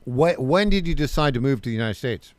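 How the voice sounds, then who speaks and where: A man's voice: medium pitch, animated, fairly quick. A man hosting a talk radio show, interviewing a guest with direct questions and frequent stumbling restarts.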